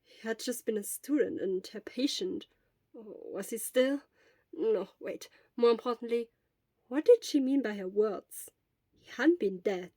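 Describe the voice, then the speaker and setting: Female narrator for audio fiction, fairly high voice, scripted read with no room sound. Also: animated, unhurried, dark tone, no room sound.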